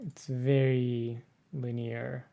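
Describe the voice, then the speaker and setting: Very low, gravelly-voiced woman. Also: little pitch movement, dark tone. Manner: slow, thoughtful commentary. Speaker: game player. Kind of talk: casual chat.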